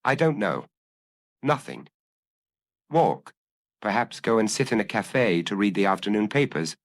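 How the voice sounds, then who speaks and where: A male narrator acts out an anguished man on the run in an audiobook detective tale. He is restless and confessional, his pitch swinging in a dry, close recording. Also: big pitch swings, a little slow, dry recording. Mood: weary, defensive. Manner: agitated, confessional. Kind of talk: audiobook character dialogue.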